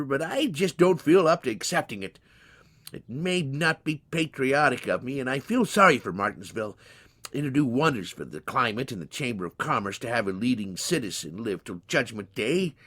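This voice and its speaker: A man's voice: mid-range voice, fairly bright tone, slightly rough, voice pushed up. A man voicing a plain-spoken, stubborn man in his nineties from a storybook, with a rambling, exclaiming, folksy manner. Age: 90s. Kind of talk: dramatized storybook reading.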